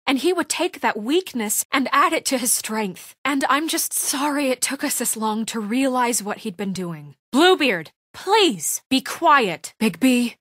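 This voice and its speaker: A dry, close recording of a woman in charge of a troubled fairy-tale community. Her voice sits fairly high and moves widely in pitch. She is firm, earnest and insistent, often exasperated, in naturalistic video game drama style. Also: animated, a little slow, hardly any pauses, a bit bright, no echo, heavily acted. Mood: frustrated, demanding.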